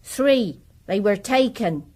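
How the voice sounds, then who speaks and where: An actress playing a middle-aged working-class former housekeeper in a period radio drama, guarded, inquisitive and plain-spoken. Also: female voice, medium pitch, animated, slow pace, dark tone.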